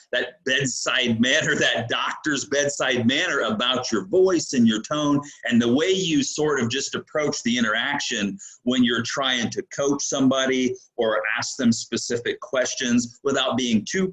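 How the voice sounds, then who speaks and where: A man's voice: medium pitch, fairly bright tone, rough recording. Enthusiastic rambling male host. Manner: enthusiastic, rambling with fillers and restarts. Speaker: podcast host. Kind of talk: interview hosting.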